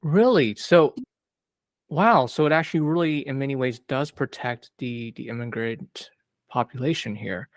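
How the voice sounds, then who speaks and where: Guy hosting a podcast interview, supportive and reflective, medium pitch, dry close sound. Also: moderate pace, no room sound. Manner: reflective, supportive, asks open questions. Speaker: podcast host interviewing a psychologist. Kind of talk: interview conversation.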